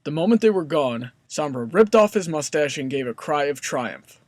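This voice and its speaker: A man's voice: fairly high pitch, lively intonation, normal speed. Male story narrator over background noise.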